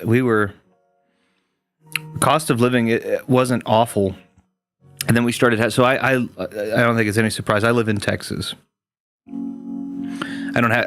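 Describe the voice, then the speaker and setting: A man hosting a gaming stream, chatting casually with viewers in a rambling way, full of restarts and fillers. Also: fairly low pitch.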